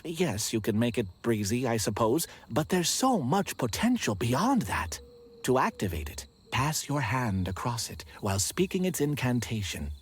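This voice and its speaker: Theatrical, eager eccentric cult leader. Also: male voice, mid-range voice, big pitch swings, normal speed, slightly rough. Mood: earnest, awed. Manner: theatrical, formal, old-fashioned wording, enthusiastic. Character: eager, eccentric male leader of amateur pagan ritual club. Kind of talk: video game voice acting.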